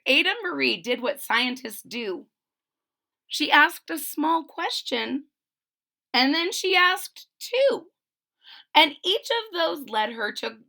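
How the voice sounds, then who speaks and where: A woman's voice: high voice, gravelly. A woman reading a children's story aloud with dramatic emphasis, explaining simply as if to young listeners. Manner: expressive, dramatic emphasis on key words. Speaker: storybook reader.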